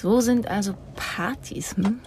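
A woman's voice: mid-range voice, a little slow, darkish tone, a little hiss or hum, close to an everyday voice. A young woman playing a reluctant, proudly independent teenage girl in a radio drama, guarded and hesitant. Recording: music behind the voice.

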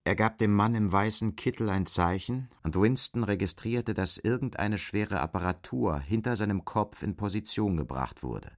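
Deep-voiced male narrator. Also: expressive, moderate pace, hardly any pauses, no echo, clean studio sound. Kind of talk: scripted literary narration for audio drama.

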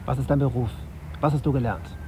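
An actor playing a young radio journalist, wry and understated, in a naturalistic radio drama. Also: male voice, mid-range voice, steady tone, fairly quick, background noise, close to an everyday voice. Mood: probing. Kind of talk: literary first-person narration and naturalistic dialogue.

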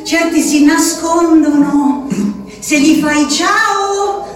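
An actress playing a plaintive, stubborn country woman in an asylum, reciting letters in a drawn-out sing-song for a radio drama. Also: sits high, faint music behind. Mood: confiding, insistent. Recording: echoey, distant, clearly noisy.

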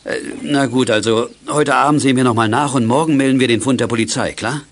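A mid-pitched man's voice, played by an actor, measured and practical. He is the cautious adult in a children's radio play, and faint noise sits behind him. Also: close to an everyday voice. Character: sensible, cautious adult man minding young detectives. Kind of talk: 1980s German children's audio drama acting.

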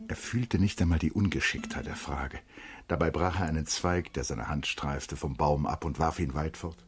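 A man's voice: very deep voice, normal speed. A man narrating a radio play as a grave, observant literary storyteller.

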